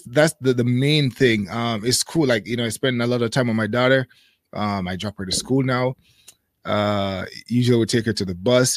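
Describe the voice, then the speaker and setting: A man's voice: medium pitch, no echo. Thoughtful man with a dry close sound. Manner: thoughtful, hesitant, many fillers. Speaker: interview guest. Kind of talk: casual interview conversation.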